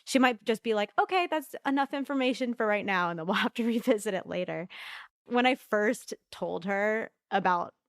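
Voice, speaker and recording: A woman's voice: mid-range voice, expressive, no echo, studio quality. A young woman guest on a podcast, talking candidly and at length, laughing often as she shares her story. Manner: chatty, laughs often, talks fast when nervous. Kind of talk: candid interview chat.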